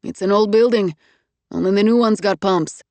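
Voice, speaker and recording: A woman's voice: medium pitch, moderate pace, darkish tone, dry recording, close to an everyday voice. A woman doing a blunt maintenance man with a Spanish accent. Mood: matter-of-fact. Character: male maintenance worker, blunt and helpful.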